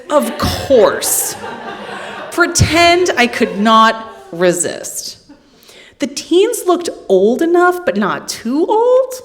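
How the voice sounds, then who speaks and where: A middle-aged woman giving a live literary reading in a hall, with deadpan, wry humour and casual asides to the listeners. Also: lowish voice. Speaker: poet reading from own book.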